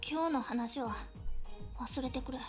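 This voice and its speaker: A voice actress playing a fierce young street girl who talks in rough, coarse slang and snarls at the church. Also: sits high, fairly quick, lightly acted. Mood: bitter, resigned. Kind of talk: Japanese game voice acting. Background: game music.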